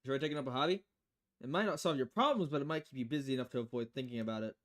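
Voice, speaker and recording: A woman's voice: extremely low pitch, very fast. A woman voicing a polite, chatty young female bartender in a visual novel, with a courteous lilt. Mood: chirpy, polite.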